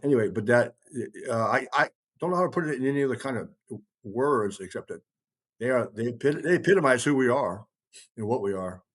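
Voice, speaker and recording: A man's voice: medium pitch, lively intonation, brisk, breathy edge. A middle-aged man from the United States talking casually in a rambling, emphatic way, repeating phrases for stress. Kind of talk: casual conversational talk.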